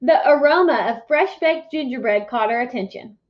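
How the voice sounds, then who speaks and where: A woman with a high voice reads a children's picture book as a teacher would. She is expressive and instructive, checking in with questions. The recording is a bit dull and lo-fi. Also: moderate pace. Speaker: teacher reading aloud. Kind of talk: picture-book read-aloud for young children. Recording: dull, muffled lo-fi sound.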